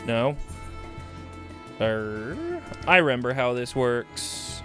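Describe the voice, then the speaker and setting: A man's voice: medium pitch, wildly swooping pitch, drawn-out words, pushed and bright, soft background music. A man hosting a game livestream, chatting casually and exclaiming often, with sweary asides. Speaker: livestream host.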